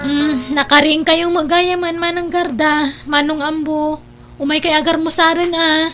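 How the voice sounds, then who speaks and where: A woman with an Ilocano accent playing a devout, gently scolding younger sister in a radio comedy drama. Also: high voice, steady tone, measured pace, soft background music. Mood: brisk.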